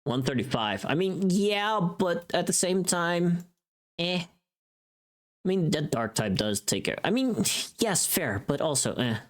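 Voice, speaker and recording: A livestream host chatting casually with viewers in an animated, informal, sweary way. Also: medium pitch, slow pace.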